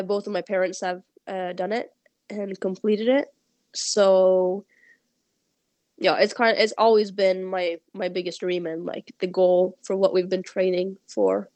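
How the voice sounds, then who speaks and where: Slow, hesitant young woman on a video call. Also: mid-range voice, no echo. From Norway. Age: 20s. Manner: hesitant, searching for English words, laughs shyly. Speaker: interviewee, sled dog musher. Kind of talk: remote interview conversation.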